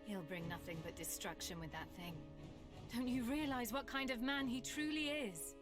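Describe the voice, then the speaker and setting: A woman's voice: on the high side, moderate pace, music in the background, natural voice. A woman playing a tough, loyal fighter in a video game, blunt and terse. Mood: indignant.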